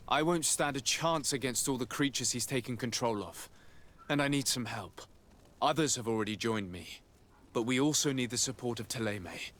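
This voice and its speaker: A man playing an earnest, courteous noble diplomat in a video game cutscene, speaking formally and in measured phrases. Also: medium pitch, fairly bright tone, lightly acted. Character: earnest, courteous young noble diplomat, burdened by family betrayal.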